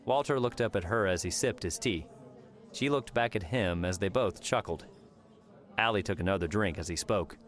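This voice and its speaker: Deep-voiced man narrating an audiobook. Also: moderate pace. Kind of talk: scripted story narration. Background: music.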